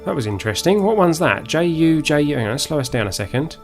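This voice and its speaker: A man's voice: on the high side, big pitch swings, fast talker, soft background music. A man from Essex with a southern English accent, hosting a live gaming stream in a casual, unscripted way, often muttering to himself as he plays. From Essex, England. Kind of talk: casual live gameplay commentary.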